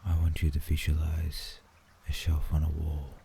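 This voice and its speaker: A man with an Australian accent narrating a sleep visualization in a soothing, hushed ASMR manner, often dropping into a whisper. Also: extremely low pitch, monotone, moderate pace, vocal fry. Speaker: guided meditation narrator. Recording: faint background noise and ambience.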